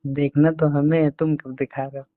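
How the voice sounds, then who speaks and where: A man's voice: fairly high pitch, little pitch movement, moderate pace, no room sound. A man chatting casually and intimately on a phone call, in a coaxing, teasing manner. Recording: tinny, band-limited phone call recording.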